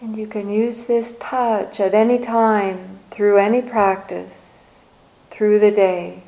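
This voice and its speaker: A woman meditation teacher speaks very slowly in a soft, dark-toned, mellow voice. Her phrasing is gentle and soothing, with long pauses left between short invitations. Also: mid-range voice, flat delivery, creaky. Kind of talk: guided meditation, softly spoken with long pauses.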